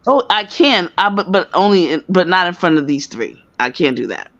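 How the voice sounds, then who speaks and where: Animated, teasing guest, lively pitch, dry, dull audio. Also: moderate pace, no echo. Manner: animated, joking, laughs a lot. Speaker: guest on a stream. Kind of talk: casual chat.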